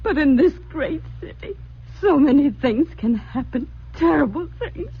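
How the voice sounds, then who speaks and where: An actress playing a devout, fretful immigrant woman, tearful and halting, in a radio drama. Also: sits high, animated. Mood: tearful, despairing. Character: anguished, devout Eastern European immigrant woman. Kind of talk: 1940s-50s radio drama acting. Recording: muffled, noisy old radio recording.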